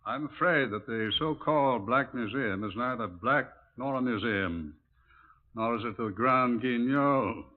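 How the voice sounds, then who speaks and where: A man's voice: medium pitch, unhurried, close to an everyday voice. A man playing a formal British chief inspector who explains things precisely, in 1950s radio drama style. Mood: formal, instructive. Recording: muffled narrowband vintage radio recording.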